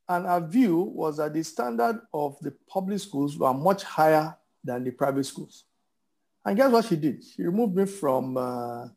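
A man in his fifties with a Nigerian accent giving reflective interview answers, haltingly and with hesitation, repeating words for emphasis. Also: on the high side, creaky, a little mellow. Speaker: interview guest, businessman.

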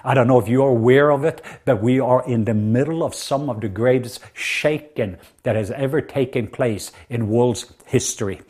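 A middle-aged man with a Norwegian accent delivers a sermon in an earnest, emphatic manner. He often pauses on fillers between phrases, and his voice sits at an ordinary pitch for a man. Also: a little mellow. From southwest Norway. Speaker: preacher.

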